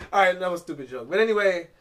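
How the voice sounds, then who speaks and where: A man's voice: sits high, fairly bright tone, a little hiss or hum. A man hosting a casual podcast, reading aloud and riffing in an informal, profane, joking way. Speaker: podcast host. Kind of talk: casual chat with read-aloud article commentary.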